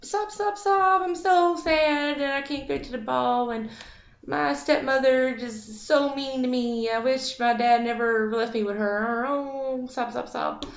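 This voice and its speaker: A woman's voice: high voice, unhurried. A woman voicing a self-pitying young maiden who whines and sobs over her hard life, in an echoey room. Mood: sobbing. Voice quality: whiny, weepy. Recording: echoey, slightly dull recording.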